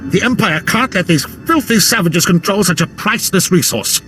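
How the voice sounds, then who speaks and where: An actor playing a pompous, fawning, fussy military officer in a video game, with florid delivery. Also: male voice, high-pitched, expressive, moderate pace. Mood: flattering, indignant. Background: loud music.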